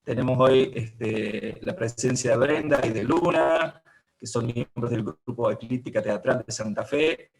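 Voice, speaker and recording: Gravelly Argentine man hosting. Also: mid-range voice, unhurried, rough recording. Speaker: host introducing guests. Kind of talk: informal spoken welcome.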